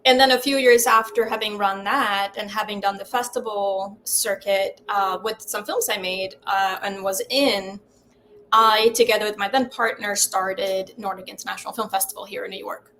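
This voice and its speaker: A middle-aged Swedish-born woman founded a film festival and is the guest in an interview, with Swedish as her first language. She weighs her answers thoughtfully and pauses often on fillers. Her pitch sits in the ordinary middle range for a woman. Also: fairly even intonation, fairly bright tone, a little hiss or hum. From Sweden. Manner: thoughtful, with frequent fillers and restarts.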